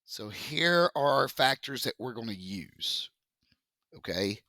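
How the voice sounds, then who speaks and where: A male math teacher with a deep, froggy voice, explaining a lesson slowly and joking with the class. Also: very animated, sharp tone, no room sound, clean studio sound. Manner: joking, chatty, slow step-by-step explaining. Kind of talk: casual classroom lesson video.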